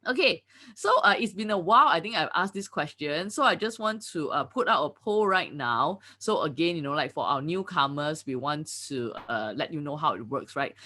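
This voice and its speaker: A woman's voice: low voice, animated, moderate pace, bright, forceful tone. A woman hosting a live online webinar in an upbeat, chatty way, full of "you know, like, uh" fillers, over a video call mic. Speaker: webinar host.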